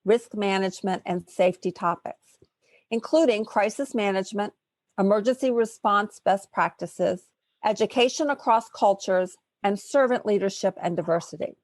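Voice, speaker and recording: A woman hosting an interview, reading a formal guest introduction in a measured way and asking questions. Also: medium pitch, a little mellow, no room sound. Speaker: podcast host.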